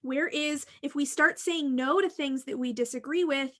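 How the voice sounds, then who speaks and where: A woman's voice: sits high, moderate pace, fairly bright tone. An American woman hosting a casual talk, explaining her opinions frankly and emphatically in a discursive way. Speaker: podcast host and university teacher.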